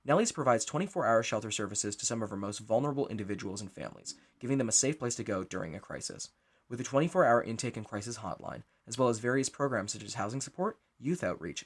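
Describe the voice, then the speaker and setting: A man's voice: lowish voice, expressive, fast, husky. A man giving a scripted introduction as the writer and director of a show.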